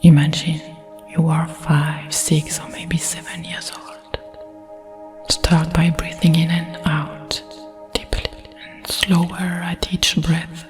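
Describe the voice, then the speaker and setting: A woman with a French accent whispers a guided meditation. Her delivery is slow, breathy and very even, measured and soothing, with soft music behind her. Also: low-pitched.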